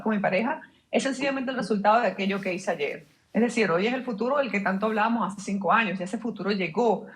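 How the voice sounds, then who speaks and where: A woman guest explaining her ideas fluently in an interview, emphatic and full of rhetorical questions. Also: medium pitch. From Venezuela. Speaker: guest, mindset mentor.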